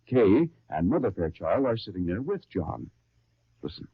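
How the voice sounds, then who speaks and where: 1930s radio announcer, tinny broadcast. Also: male voice, fairly low pitch, very animated, husky, dry recording. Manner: brisk, salesy, then storytelling. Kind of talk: 1930s sponsor ad and program intro.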